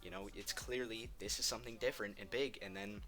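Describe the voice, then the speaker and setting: A man's voice: fairly low pitch, fairly even intonation, fast talker, music in the background. A man giving casual, opinionated countdown commentary on video games, enthusiastic and emphatic, repeating words for stress. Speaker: video game ranking host.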